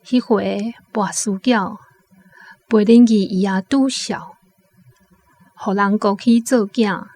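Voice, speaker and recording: A woman's voice: mid-range voice, steady tone, slow pace, long pauses, darkish tone. A woman with a Taiwanese accent narrating a scripted radio drama as a storyteller.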